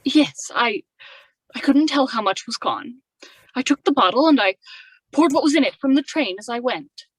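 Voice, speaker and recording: A woman's voice: high-pitched, animated, normal speed, slightly rough, no echo, rough recording. A woman playing an unhappy, emotional young widow in a radio drama. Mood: grieving, confessional. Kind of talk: old-time radio drama acting.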